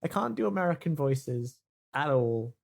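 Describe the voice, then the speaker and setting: A man's voice: mid-range voice, very animated, moderate pace, vocal fry. A man chatting casually as a player on a role-playing podcast, jokey and given to mock grumbling. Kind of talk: casual chat.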